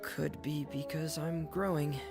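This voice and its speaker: An actor playing a shy, guarded teenage boy in a video game, hesitant and halting, stumbling over fillers. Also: a little high, fairly even intonation, gravelly, over music.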